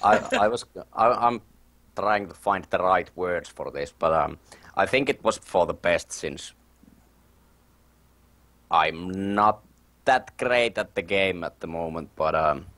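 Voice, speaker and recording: A man's voice: deep voice, animated, slow pace, pauses often, fairly bright tone, no echo. A man from Finland with a Finnish accent, chatting casually as a guest, joking and searching a little for words. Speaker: guest, gamer. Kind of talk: casual chat.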